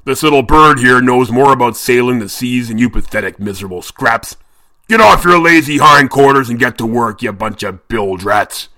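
A man's voice: mid-range voice, moderate pace, bright, forceful tone, no echo, lightly acted. A man playing a bellowing pirate captain. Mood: bellowing scorn. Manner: bellowing, threatening. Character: menacing murderous male pirate captain.

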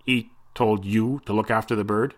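A man's voice: on the low side, fairly even intonation, moderate pace, close to an everyday voice. A man playing a curt, grudging sailor. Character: grumpy thin male sailor.

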